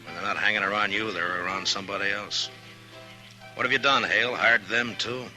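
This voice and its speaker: Deep-voiced stern frontier marshal. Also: male voice, fairly even intonation, fairly quick, pushed and bright, poor audio. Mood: hard, commanding. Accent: American. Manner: curt, commanding. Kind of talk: 1950s radio western acting. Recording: 1950s radio recording with music.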